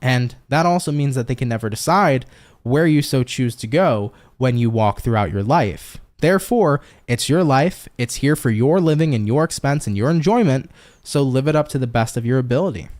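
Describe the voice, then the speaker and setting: A man's voice: mid-range voice, animated, normal speed, darkish tone. New york guy doing a tarot stream, chatty, swears a lot, talks right to chat. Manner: chatty, swears freely, talks straight to viewers. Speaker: tarot reader. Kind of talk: casual live-stream tarot reading.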